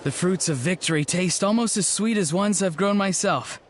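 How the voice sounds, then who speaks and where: A man's voice: sits high, moderate pace, background noise, close to an everyday voice. A man voicing a polite, dutiful young man in a video game. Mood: satisfied, wry.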